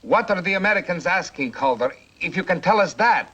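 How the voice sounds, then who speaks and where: A curt Soviet officer in a 1950s film drama. The voice is forceful and rough-edged, with lively pitch and a commanding manner. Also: male voice, brisk, lo-fi sound, heavily acted. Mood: businesslike. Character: exasperated, domineering Soviet intelligence officer.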